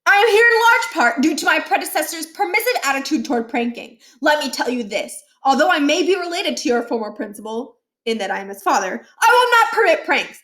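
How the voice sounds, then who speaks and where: A woman's voice: high-pitched, sing-song, a little fast, hardly any pauses, pushed and bright, roomy sound, pitched above the performer's own voice, heavily acted. Woman playing a stern, pompous male school principal. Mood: stern, lecturing. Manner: pompous, commanding, clipped and formal.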